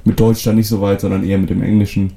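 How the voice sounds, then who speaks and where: Young Frisian man, dark mellow voice. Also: on the low side, fast talker, gravelly, a little hiss or hum. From Föhr, northern Germany. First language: North Frisian. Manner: relaxed, many fillers and restarts. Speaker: musician guest. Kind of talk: casual interview answers.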